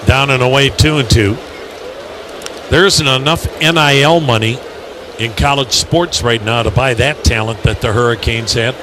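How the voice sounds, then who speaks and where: An American man calling live baseball on the radio, mixing measured storytelling with clipped pitch calls. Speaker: baseball radio play-by-play announcer. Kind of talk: live commentary with conversational asides. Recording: clearly noisy ballpark broadcast.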